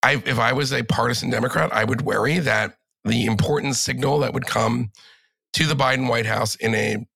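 A man with a cold and a raspy voice, chatting casually as a podcast guest and rambling through restarts and fillers. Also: medium pitch, steady tone, moderate pace, bright, forceful tone, dry recording. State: has a cold. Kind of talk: casual political chat.

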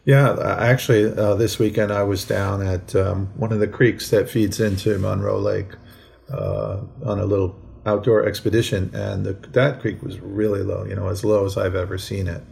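An American man talking conversationally as a podcast co-host, in a measured, explanatory way with frequent asides. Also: on the low side, little pitch movement, creaky, darkish tone. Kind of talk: conversational current-events discussion. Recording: faint background noise.

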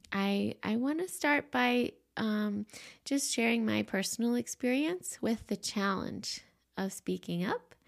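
A woman hosting a solo podcast, her quiet, gentle voice sitting at an ordinary middle pitch. She sounds a little stuffy and sniffly from allergies. She talks softly with frequent ums and small restarts as she shares her thoughts. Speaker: podcast host and life coach.

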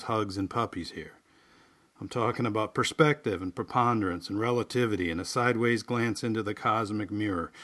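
A man. He speaks as a solo podcast host and writer, with a folksy, unhurried delivery. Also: on the low side, a bit bright. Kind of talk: casual monologue and reflective essay reading.

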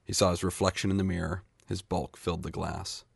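A man's voice: low voice, little pitch movement, fairly quick, gravelly, slightly rough, no room sound, studio quality. A man reading literary short fiction aloud as a scripted narration. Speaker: short story reader.

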